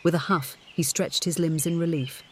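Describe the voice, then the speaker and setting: Higher-voiced man narrating a story, noisy background. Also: fairly even intonation, moderate pace, a bit bright. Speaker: audiobook narrator.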